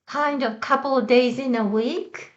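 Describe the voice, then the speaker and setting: A Japanese woman hosting an English-learning talk, asking questions in halting English and summarizing in rapid Japanese. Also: sits high, fairly even intonation, highs cut off. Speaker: host, English-learning show. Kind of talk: bilingual casual interview.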